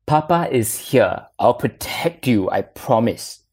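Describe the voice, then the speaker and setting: A woman voicing a gentle father who coaxes and soothes his young son in a storybook reading. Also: extremely low pitch, expressive, slow pace, slightly rough, heavily acted. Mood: reassuring. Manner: soothing, persuasive.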